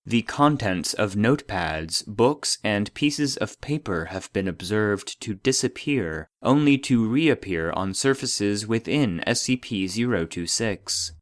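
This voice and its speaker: A man voicing an impersonal database, reading formal classified reports in a measured, clinical manner. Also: fairly low pitch, lively intonation, no room sound, clean studio sound. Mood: clinical, detached. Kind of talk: scripted narration of a formal report.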